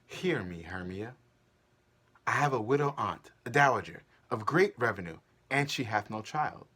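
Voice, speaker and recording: Man playing an ardent young lover, animated. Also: medium pitch, normal speed. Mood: urgent, conspiratorial. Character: ardent, cheeky young male lover, scheming and tender. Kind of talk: theatrical Shakespearean stage reading.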